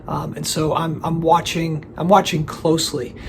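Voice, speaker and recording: A man hosting his own collectibles channel, talking casually to the camera while hoarse from laryngitis, rambling with many fillers and restarts. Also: fairly high pitch, flat delivery, normal speed, slight background noise. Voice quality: gravelly, strained voice. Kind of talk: casual solo video commentary.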